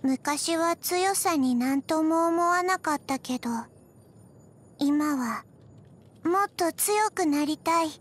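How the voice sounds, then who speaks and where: A tiny zombie girl from a video game. Her voice is high and childlike, and she speaks very slowly in soft, halting pieces. She sounds emotionless yet gentle, as if memory comes to her a bit at a time. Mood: flat, halting. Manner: halting, deadpan, soft-spoken with frequent pauses. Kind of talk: scripted video game character voice lines.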